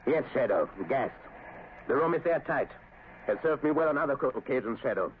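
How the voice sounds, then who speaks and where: A man voices a suave, menacing villain doctor who drawls his threats with slow deliberation. The sound is thin and phone-like, an old broadcast with light hiss. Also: medium pitch, lively intonation, lightly acted. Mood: gloating. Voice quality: smooth, deliberate villain delivery. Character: scheming male saboteur doctor, suave and menacing. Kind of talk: 1930s radio drama acting. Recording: tinny old radio recording, hiss.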